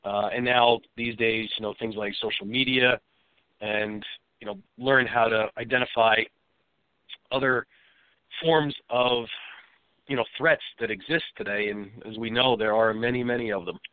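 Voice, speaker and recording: A man with a cold and a slightly raspy voice, answering interview questions as a safety expert in an easygoing, explanatory way. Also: mid-range voice, steady tone, normal speed, no echo. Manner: explains at length in a plain, easygoing way. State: has a cold, a bit raspy. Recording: dry, phone-like line.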